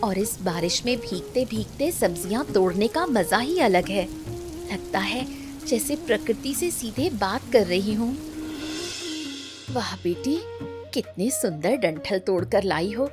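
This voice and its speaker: A woman voicing cheerful, dutiful village women in a cartoon family story. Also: medium pitch. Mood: cheerful, admiring. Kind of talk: Hindi cartoon story voice acting.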